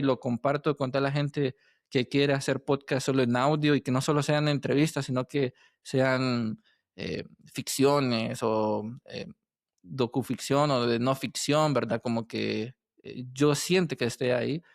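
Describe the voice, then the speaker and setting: A man's voice: mid-range voice, fairly even intonation, unhurried, gravelly, dry recording, clean studio sound. A man hosting a conversational podcast interview, feeling his way through sentences and checking in with tag questions. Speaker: podcast host interviewing a guest.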